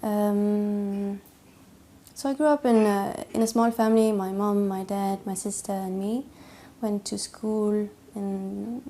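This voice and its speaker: Young woman, interviewee, hesitant and full of fillers, medium pitch, faint hiss behind her. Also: mellow. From Denmark. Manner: hesitant, many fillers and restarts. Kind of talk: personal testimony interview.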